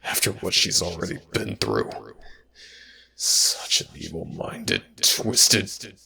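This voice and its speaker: A man playing a sorrowful male god in an ASMR audio drama, speaking in a halting, grave, pitying way with a gravelly, breathy, whispery rasp. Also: sits low, big pitch swings, sharp tone, heavily acted. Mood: horrified, indignant. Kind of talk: ASMR audio roleplay, dramatic internal narration.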